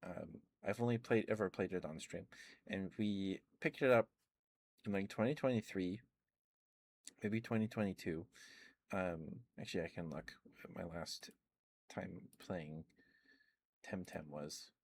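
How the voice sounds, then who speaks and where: A man rambling casually about video games in a soft-spoken ASMR stream, full of ums and uhs. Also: deep voice, unhurried, gravelly, long pauses.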